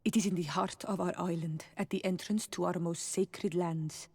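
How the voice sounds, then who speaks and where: An actress playing a proud, helpful island princess in a video game, speaking in a solemn and measured way. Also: female voice, on the low side, steady tone, a little mellow, slightly rough. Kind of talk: dramatic video game acting. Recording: long hall-like reverb.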